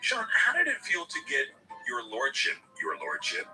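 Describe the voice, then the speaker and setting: A man hosting a podcast, reading sponsor copy in a playful, animated way. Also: medium pitch, moderate pace, distant mic, soft background music, rough recording. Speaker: podcast host. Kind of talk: sponsor read, partly scripted, with banter.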